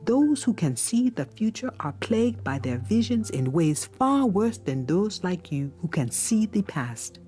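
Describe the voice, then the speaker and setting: An actress playing a wise, gentle older woman mentor in a video game, with measured, soft, solemn delivery. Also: medium pitch, sing-song, soft background music. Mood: grave, explanatory. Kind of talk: dramatic video game acting.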